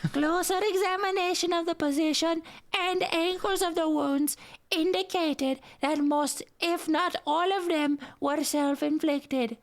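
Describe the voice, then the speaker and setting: The tiny squeaky lemur from an animated film, giggly, solemnly reading out horror at a bedtime crawl. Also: male voice, measured pace. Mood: gleefully creepy. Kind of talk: creepy story narration in cartoon voice.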